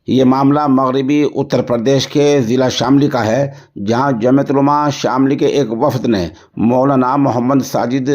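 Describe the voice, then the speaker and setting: A man presenting news and commentary in a formal, earnest, deliberate manner. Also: medium pitch, darkish tone. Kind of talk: news report with commentary, formal Urdu register. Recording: dull, lo-fi voice recording.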